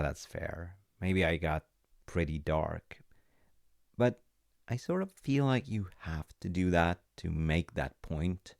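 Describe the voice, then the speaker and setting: A very deep, gravelly-voiced dad, played by a man, caring and patient, speaking softly and reassuringly in an audio roleplay. He takes his time and slips into a whisper now and then. The sound is close and dry. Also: no echo, exaggerated voice. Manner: soft, reassuring, unhurried, drops to whispers at times. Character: caring middle-aged dad, gentle and patient. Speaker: voice actor.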